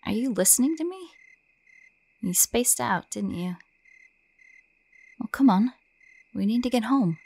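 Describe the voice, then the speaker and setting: A woman's voice: fairly high pitch, lively intonation, unhurried, gravelly, long pauses, darkish tone, breathy edge, no room sound, heavily acted. A woman voicing a caring older sister in an audio roleplay, calling out and affectionately chiding a little one. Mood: fondly scolding. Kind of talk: scripted audio roleplay acting.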